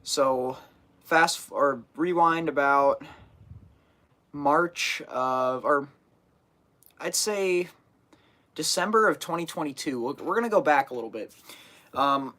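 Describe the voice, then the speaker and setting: A man's voice: a little high, expressive, slow, pauses often, bright, forceful tone. Teen male streamer, casual and rambling, a bit stuffy from a cold. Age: teens. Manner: rambling, many fillers and restarts. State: getting over a cold, sniffling. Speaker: fishing channel host. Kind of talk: casual live stream chat.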